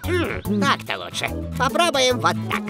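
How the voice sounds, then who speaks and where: A scheming, excitable cartoon man, coaxing and theatrical. His pitch swoops wildly from word to word. Music plays behind him. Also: moderate pace, creaky, heavily acted. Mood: instructing. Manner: wheedling, theatrical, exclamatory. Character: scheming, excitable man trying to cash in on a bear. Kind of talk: animated cartoon dubbing.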